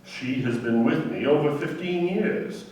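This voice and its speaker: A man's voice: a little high, a little slow, a little mellow, natural voice. A man playing an indignant, formal pearl merchant in a radio play, emphatic and theatrical in his delivery. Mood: adamant. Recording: very echoey, distant from mic.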